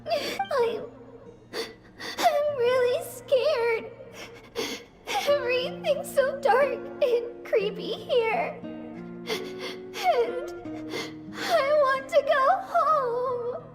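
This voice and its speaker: A woman's voice: very high voice, exaggerated voice. A woman voicing a small, humble, frightened young girl trying to be brave in a video game, speaking hesitantly and earnestly. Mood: tearful, scared. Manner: hesitant, earnest, self-effacing. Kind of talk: English video game voice acting. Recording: music behind the voice.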